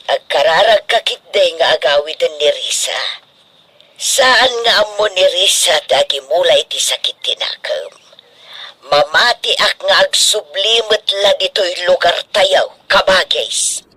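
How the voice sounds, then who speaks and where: Woman acting an earnest, admonishing aunt, bright voice. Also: lowish voice, expressive, a little slow, breathy edge, full character voice, poor audio. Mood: earnest pleading. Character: earnest aunt pleading with a stern father for mercy. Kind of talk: radio drama acting.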